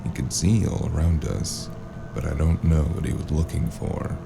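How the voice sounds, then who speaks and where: Guy acting a wary drifter with no memory in a noir audio drama, super deep gravelly voice, wry, kinda noisy recording. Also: moderate pace, full character voice. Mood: brooding. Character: wary amnesiac male drifter narrating his noir tale.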